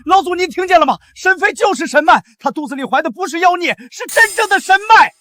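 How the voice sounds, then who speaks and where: A man's voice: very high voice, expressive, fast talker, bright, forceful tone, a little hiss or hum, full character voice. An actor playing a scheming male Taoist fortune-teller in a fantasy drama, sneering and gloating.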